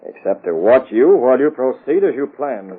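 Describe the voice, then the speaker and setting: An actor playing a commanding, cool masked vigilante with clipped delivery in an old radio drama. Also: male voice, mid-range voice, very animated, moderate pace, breathy edge. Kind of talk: 1930s-40s radio drama acting. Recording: muffled old radio broadcast recording.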